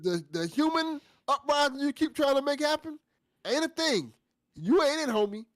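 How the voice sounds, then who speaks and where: A man with a notably high voice talks through a story as a casual panelist. His pitch moves in a lively way and his phrasing is informal and emphatic. The sound is close and dry. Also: normal speed, no room sound. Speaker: panelist on a fiction after-show.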